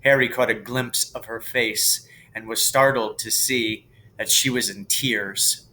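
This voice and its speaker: A man reading a storybook aloud as a teacher would, in expressive narration. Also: medium pitch, measured pace, poor audio.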